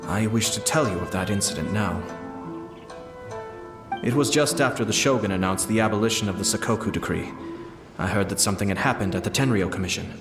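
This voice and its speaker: A man playing a composed young nobleman in a video game, speaking in a measured, formal and courteous way with soft amusement. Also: lowish voice, close to an everyday voice. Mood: measured, serious. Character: composed young nobleman, clan head, polite and quietly cunning. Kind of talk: video game character dialogue. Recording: roomy echo under clear background music.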